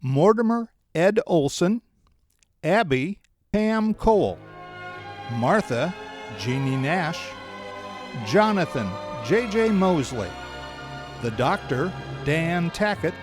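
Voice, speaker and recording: A man's voice: on the high side, very animated, slow, pauses often. A man working as an announcer, formally reading out the cast credits of a radio play. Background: music.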